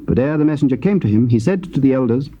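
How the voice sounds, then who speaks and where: A man narrating scripture in a measured, stately reading. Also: mid-range voice, expressive, dull audio. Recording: faint tape hiss.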